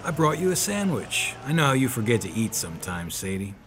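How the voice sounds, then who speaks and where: An actor playing a tough, laconic but kindly 1930s detective in an audio drama, plainspoken, dry and slangy. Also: male voice, medium pitch, sing-song, a little fast, noisy background, close to an everyday voice. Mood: dry, familiar. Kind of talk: hardboiled audio drama acting and narration.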